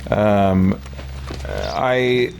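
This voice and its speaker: A man's voice: on the low side, fairly even intonation, very slow, vocal fry, darkish tone, a little hiss or hum. A man giving casual, rambling gameplay commentary as a streamer, thinking aloud and explaining himself to viewers. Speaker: video game streamer.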